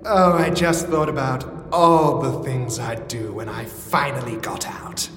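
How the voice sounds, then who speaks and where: Casting: actress as eccentric, excitable freed loner, husky lowish female voice, reverberant space. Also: a little slow, soft background music, heavily acted. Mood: musing. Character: excitable, husky woman released from long solitude. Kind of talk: audio comedy drama acting.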